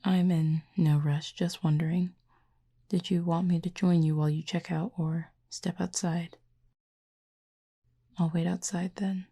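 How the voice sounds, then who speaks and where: A woman voicing a calm, curious cupid in an intimate audio roleplay, speaking softly and half-whispered with formal, measured, gently dry phrasing. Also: on the low side. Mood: calm, gently teasing. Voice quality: breathy, soft, half-whispered. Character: calm, curious otherworldly cupid who feeds on feelings.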